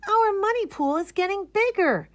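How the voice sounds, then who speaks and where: Lady doing eager little kid voice for a storybook, high n bubbly, bouncing all over. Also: animated, moderate pace, no echo. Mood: delighted. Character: excited, curious young children exclaiming happily. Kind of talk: children's picture book read-aloud.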